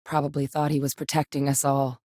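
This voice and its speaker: An actress playing a steady, determined female military scientist in a video game, with a serious, matter-of-fact manner. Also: very deep voice, brisk, dry recording, studio quality. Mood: measured, serious.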